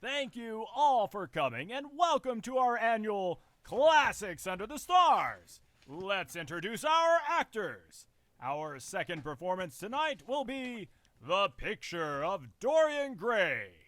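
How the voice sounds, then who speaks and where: A man's voice: sits high, very animated, slow, fairly bright tone, no echo, pitched above the performer's own voice, full character voice. A man voicing a thin stage actor who addresses a theatre audience in a commanding, declamatory way. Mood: grand welcoming. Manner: declamatory, announcing to a crowd.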